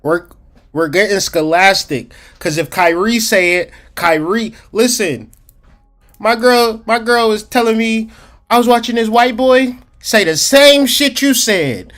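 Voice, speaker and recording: A man hosting a casual livestream about psychology, streetwise and slangy, talking straight to his chat and slowing down to stress key points. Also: high-pitched, a bit bright, soft background music. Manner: emphatic, slows down to stress points. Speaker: livestream host.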